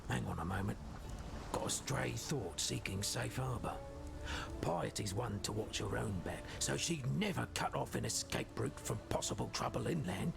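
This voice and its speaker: A wistful shipwrecked sailor from a video game. His voice is heavily fried and gravelly, with lively swings in pitch. He sounds rueful and thoughtful, and music plays behind him. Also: normal speed. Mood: musing. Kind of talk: video game character dialogue.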